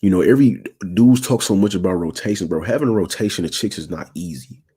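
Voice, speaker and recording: A man in his twenties with a raspy voice and a sore throat, talking casually to viewers on his livestream and checking in with slangy asides. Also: low voice, lively intonation, fast talker, warm tone. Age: 20s. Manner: slangy, keeps asking "you feel me". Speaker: channel host.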